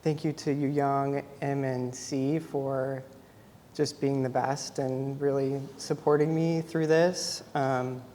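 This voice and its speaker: A soft-spoken young man from Idaho gives an informal artist talk about his photography, pausing often with "um.". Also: mid-range voice, little pitch movement, a little hiss or hum. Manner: soft-spoken, many ums. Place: gallery. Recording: long hall reverb.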